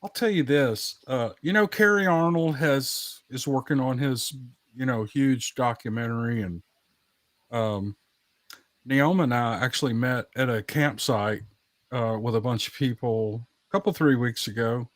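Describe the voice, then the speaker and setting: A man's voice: medium pitch, measured pace, no room sound. A man telling anecdotes in casual podcast chat, folksy and given to repeating his phrases for emphasis.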